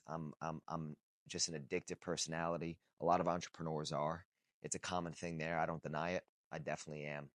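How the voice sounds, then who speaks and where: A man's voice: rumbling low, a bit bright, no echo, studio quality. A man of about thirty talking casually and bluntly to camera, swearing freely and repeating phrases for emphasis. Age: 30s. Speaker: vlogger. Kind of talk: casual unscripted talk to camera.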